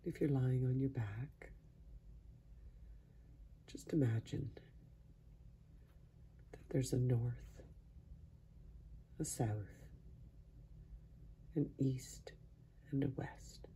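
A man softly guiding a sleep meditation in a hushed, breathy voice that often sinks into a whisper, slow and soothing with long pauses. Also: mid-range voice, steady tone, a little hiss or hum. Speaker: meditation guide. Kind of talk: guided sleep meditation, soft-spoken.

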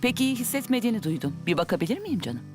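A woman voicing a gentle, reassuring doctor in a children's cartoon dub. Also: mid-range voice, big pitch swings, racing, faint music behind, natural voice. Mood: gentle concern.

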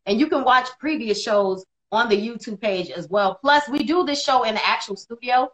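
A woman in her thirties co-hosting a casual live video chat from home, animated and quick to laugh, on a phone or laptop mic. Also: on the high side, poor audio. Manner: animated, laughs often, bright delivery. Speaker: co-host. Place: indoors at home. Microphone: phone or laptop camera on video call.

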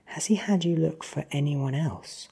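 A performer voicing an ancient, powerful vampire who speaks with cold, deliberate menace. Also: mid-range voice, vocal fry, dark tone, husky, voice pushed up, full character voice. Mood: cold interrogation.

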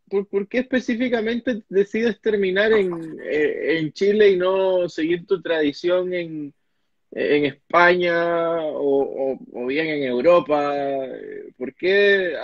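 Young male interviewer, somewhat high voice, halting and repetitive, dry dull lo-fi webcam sound. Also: no echo. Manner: stammering, repeats words, polite. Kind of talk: casual video call interview. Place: home office with boxes behind. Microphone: video call, webcam. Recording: dry, dull lo-fi call audio.

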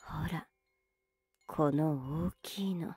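A woman playing a young, teasing older sister in an anime ASMR roleplay, scolding yet soft and intimate, close to the microphone. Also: deep voice, extremely slow, gravelly, husky, dry recording, full character voice. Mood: soft, absorbed. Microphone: very close, dry microphone.

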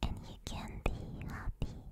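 A woman doing ASMR guided relaxation in a soft, breathy, gentle and reassuring whisper, close to a binaural microphone. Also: unhurried. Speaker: ASMR streamer.

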